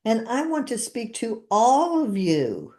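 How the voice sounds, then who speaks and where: A woman's voice: on the high side, huge pitch swings, takes it slowly, warm tone. A woman in her seventies hosting a podcast, chatting casually and repeating guided phrases after her guest. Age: 70s. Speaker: podcast host and therapist.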